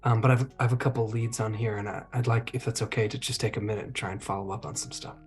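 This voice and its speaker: A man playing a tabletop roleplaying game, moving casually between describing his actions and speaking in character, in a gravelly, husky voice. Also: on the low side, steady tone, fast talker, soft background music.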